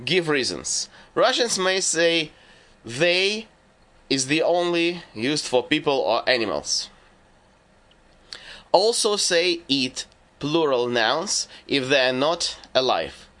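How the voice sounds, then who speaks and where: A man giving scripted narration for a language lesson, laying out rules and lists in a measured, deliberate way. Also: fairly high pitch, expressive, a bit bright. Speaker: language teacher.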